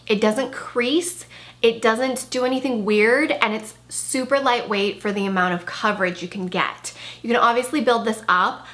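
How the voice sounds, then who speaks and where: A woman's voice: on the high side, steady tone, normal speed, fairly bright tone. A woman in her thirties chatting through a casual makeup product review in her bedroom, her voice a bit worn because she is losing it. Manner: chatty, enthusiastic, with frequent "like" and "really". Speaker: beauty vlogger. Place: bedroom with clothing rack, indoors.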